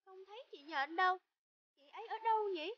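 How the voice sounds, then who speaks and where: A woman's voice: very high voice, brisk, no echo. A woman voicing a small, anxious cartoon grub that calls out and pleads. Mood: anxious, pleading. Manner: pleading, calling out. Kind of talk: cartoon voice acting. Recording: dull lo-fi sound.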